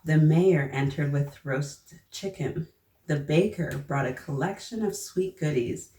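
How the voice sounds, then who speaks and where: A woman reading a children's story aloud, pausing to prompt young listeners with questions. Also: low voice, dark tone. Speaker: children's storybook reader and host. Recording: roomy echo, distant mic, faint noise.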